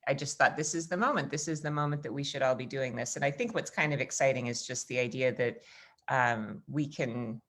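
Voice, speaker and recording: A woman's voice: rumbling low, brisk. An American woman in her forties, host of a casual video chat, telling rambling stories with lots of fillers. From United States. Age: 40s. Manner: chatty, rambling storyteller with frequent fillers. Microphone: video call.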